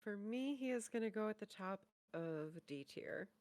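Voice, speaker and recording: A woman's voice: medium pitch, animated, normal speed, darkish tone, no room sound. A woman co-hosting a reaction video, chatting casually and teasingly about game trailers.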